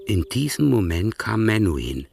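A deep, gravelly male narrator with a lively, measured storytelling delivery. He sounds a little distant from the mic, with faint noise behind him. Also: music in the background. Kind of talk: scripted radio-play narration.

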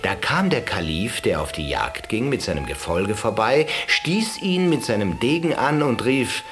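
A man's voice: fairly low pitch, sing-song, unhurried, over music. An actor in a German radio play voicing an educated, opinionated man who tells stories vividly in an emphatic, sardonic manner. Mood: wry, calm.

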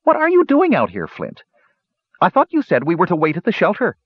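A man voicing a young, impish and cheerful male kender in an audiobook, with a high, bouncy, teasing voice. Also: huge pitch swings, fairly quick, slightly rough, dry recording, highs cut off, full character voice.

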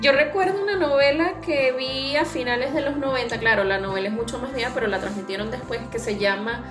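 A woman's voice: on the high side, brisk. A woman with a Venezuelan accent chatting casually as the co-host of a podcast. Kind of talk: casual chat. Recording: roomy echo, music behind voice.

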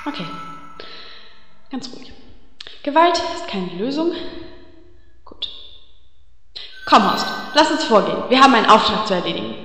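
A woman voicing a vain, haughty female elf priestess in a parody audio drama, prim and quick to curse. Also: on the high side, big pitch swings, fairly bright tone, soft background music. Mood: forced calm. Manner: prim, quick-tempered, bursts into cursing. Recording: echoey room reverb.

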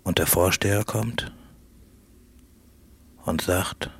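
Deep, husky man in slow monologue. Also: a bit bright. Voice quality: husky, breathy. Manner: slow, brooding, repetitive, often dropping to a whisper. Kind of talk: halting spoken-word monologue for radio.

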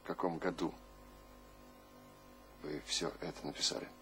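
An actor playing a weary, quiet male poet in a radio drama, speaking in hushed, terse phrases. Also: a bass voice, fairly even intonation, moderate pace, vocal fry, faint music behind, full character voice. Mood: quiet, detached. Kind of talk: restrained radio drama acting.

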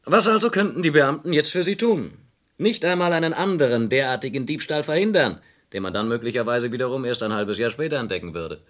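Man acting a lively, unflustered investigator. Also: mid-range voice, brisk, lightly acted. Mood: firm. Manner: polite but firm, explanatory. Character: unflustered, matter-of-fact male investigator. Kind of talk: old German radio crime drama acting.

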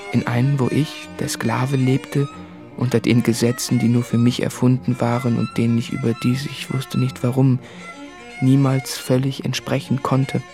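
A man's voice: medium pitch, vocal fry, warm tone, natural voice. A man acting an anguished, self-tormenting writer in a literary radio drama, brooding and intimate, often sinking into whispers.

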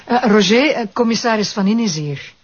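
A woman with a Flemish accent playing a concerned, diplomatic magistrate in a crime drama, with a husky voice. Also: mid-range voice, lively intonation, fairly quick. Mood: professional. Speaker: actor playing a legal official. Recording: muffled lo-fi audio.

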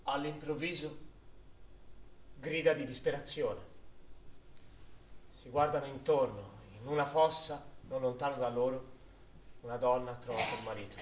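An actor playing a grave chronicler of a wartime massacre in a dramatic stage narration. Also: male voice, medium pitch, moderate pace, long pauses, natural voice. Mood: grave, tense. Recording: roomy stage sound, faint noise.

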